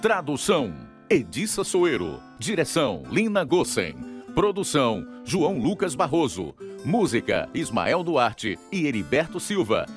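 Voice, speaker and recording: Male radio announcer, Brazilian accent, scripted studio read, lively intonation, guitar underscore. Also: mid-range voice. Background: guitar music.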